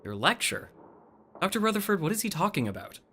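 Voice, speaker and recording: A man's voice: high voice, big pitch swings, a little fast, sharp tone, exaggerated voice. A man playing a patient, polite hospital attendant in a radio play, explaining things plainly. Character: brisk, patient hospital attendant breaking bad news.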